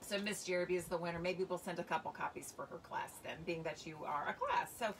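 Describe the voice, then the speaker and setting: A woman's voice: fairly low pitch, quick pace. A woman in her forties, a children's author reading a storybook and chatting casually on a livestream. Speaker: children's book author and reader. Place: covered outdoor patio by trees. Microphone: phone or camera a few feet away. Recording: distant mic, clear outdoor background noise.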